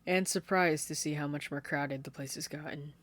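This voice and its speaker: A fan fiction reader narrating a story in a steady, soft-spoken way into a desktop condenser mic. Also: medium pitch, moderate pace. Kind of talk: podfic narration.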